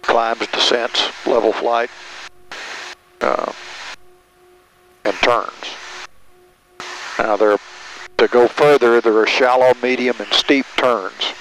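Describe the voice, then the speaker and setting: An older man in his sixties instructing in halting, short directives over an airplane headset intercom. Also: medium pitch, steady tone, fairly bright tone, poor audio. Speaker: flight instructor. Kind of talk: calm cockpit instruction. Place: small airplane cockpit in flight. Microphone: aviation headset boom mic. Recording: aircraft intercom headset with engine noise.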